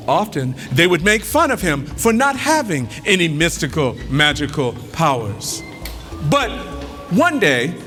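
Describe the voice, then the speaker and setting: Lively high-voiced male storyteller. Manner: dramatic, call-and-response with the audience. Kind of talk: oral folktale telling.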